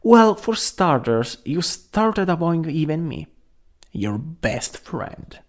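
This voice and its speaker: A young man acting a caring, worried best friend in an ASMR roleplay, gentle, hesitant and intimate. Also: a little high, big pitch swings, slow pace.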